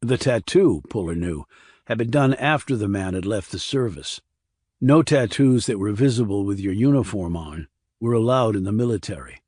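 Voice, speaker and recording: A man narrating a crime thriller audiobook in a measured, serious, scripted reading. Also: lowish voice, animated, darkish tone, breathy edge, dry recording, studio quality.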